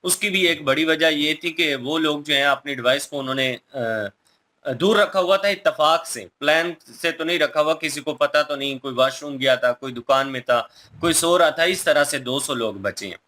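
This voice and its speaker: A man's voice: fairly high pitch, normal speed, sharp tone. A man giving emphatic, explanatory opinion commentary in a casual style as a reaction video co-host.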